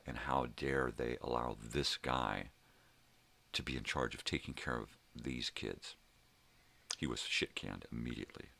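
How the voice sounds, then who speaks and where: A middle-aged man with a gravelly voice, rambling casually as he tells stories with swearing and emphatic bursts. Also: extremely low pitch, pushed and bright. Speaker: host of a daily personal vlog.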